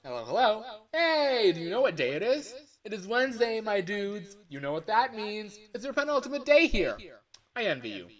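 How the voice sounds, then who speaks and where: A man's voice: high voice, sing-song, normal speed, pitched above the performer's own voice. A man voicing a chirpy, chummy office coworker in an exaggerated, peppy phone-call voice line for a video game.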